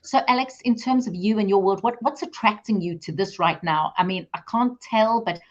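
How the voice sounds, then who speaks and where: A woman's voice: medium pitch, moderate pace, muffled recording. A middle-aged woman hosting a live video call interview, chatty and enthusiastic. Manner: enthusiastic, talkative. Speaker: interview host.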